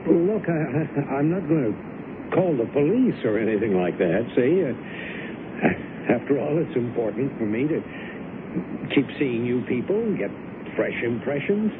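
A man's voice: lively intonation, normal speed, lightly acted. An actor in a radio drama playing a nervous, flustered author who stammers as he tries to placate a threatening intruder. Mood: flustered, placating. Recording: muffled lo-fi old radio broadcast, rumbling hiss.

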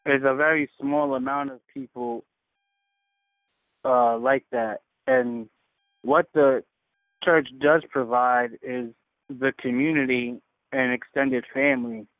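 A man shares reflective thoughts as a caller, choosing his words haltingly and earnestly. His mid-pitched voice comes through a narrow, radio-like phone connection with no room sound around it. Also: steady tone, no room sound. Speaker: caller on a faith community call. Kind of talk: casual, reflective personal sharing.

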